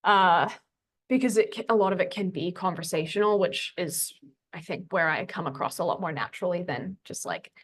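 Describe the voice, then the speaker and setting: A woman's voice: on the low side, normal speed. A woman talking casually as a podcast guest, informal and self-deprecating, phrasing things loosely. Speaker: podcast guest, fitness coach. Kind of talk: casual conversational talk.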